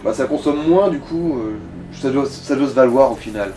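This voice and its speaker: A man's voice: mid-range voice, moderate pace, warm tone, a little hiss or hum. A man giving casual, colloquial and sometimes sweary co-commentary on someone else's video game play. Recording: distant mic, game music behind.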